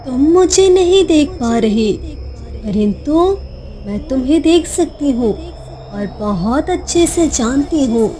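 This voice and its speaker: A woman voicing a motherly talking lioness in a cartoon story, tender, mysterious and slowly reassuring. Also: high voice, lively intonation, dark tone.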